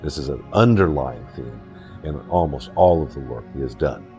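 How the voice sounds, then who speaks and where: A man with a very low voice offers an evaluation of a sculptor's place in modern art. He speaks in a calm, measured way. Faint music plays in the background. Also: lo-fi sound. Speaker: commentator on the artist. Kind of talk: documentary commentary.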